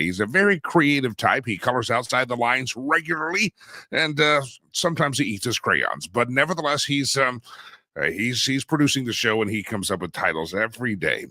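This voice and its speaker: A man hosting a talk show, rambling and joking with many fillers, his voice a little hoarse from a cold. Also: mid-range voice, bright, forceful tone, no room sound. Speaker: radio and stream host. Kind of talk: casual talk show monologue.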